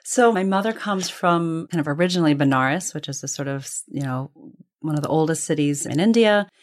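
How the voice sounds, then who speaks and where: A woman's voice: sits low, animated, fairly quick, faint music behind. Reflective woman telling family stories. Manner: reflective, discursive, hedging with self-corrections. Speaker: interview guest. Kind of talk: conversational personal storytelling.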